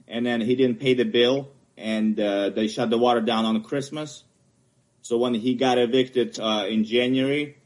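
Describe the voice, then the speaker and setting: A man from Ukraine with a Ukrainian accent, English not his first language, haltingly explaining his property appeal at a hearing. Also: medium pitch, a little mellow. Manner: halting, searching for words. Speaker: homeowner appealing a property assessment.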